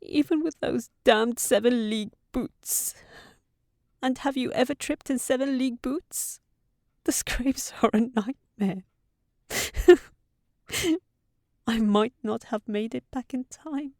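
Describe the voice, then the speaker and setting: Woman playing a slow-spoken, tender young fairytale housekeeper. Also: on the high side, no room sound, studio quality. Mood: fretful, rambling. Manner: tender, fretful rambling with sighs and hushed asides. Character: sensible young fairytale housekeeper secretly in love with a wizard. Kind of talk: intimate scripted fantasy audio roleplay.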